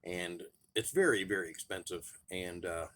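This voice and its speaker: A man's voice: low voice, moderate pace. A man talking casually to camera as a radio hobbyist vlogger, chatting loosely while he tinkers. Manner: relaxed and chatty, thinking aloud while tinkering. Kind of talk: casual how-to vlog.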